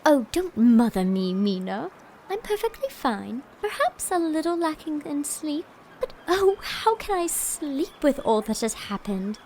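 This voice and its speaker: An actress playing a blunt, lively young Englishwoman in an audio drama, talking in a playful, breathless way. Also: high voice, a little slow, a little hiss or hum, heavily acted, poor audio.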